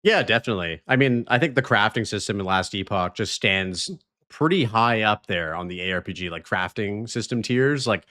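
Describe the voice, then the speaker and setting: A man's voice: fairly low pitch, expressive, moderate pace, bright, forceful tone. A man hosting a podcast, talking in a loose, laid-back way. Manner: laid-back, loose, many fillers like "sort of". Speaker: podcast host. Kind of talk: casual podcast conversation.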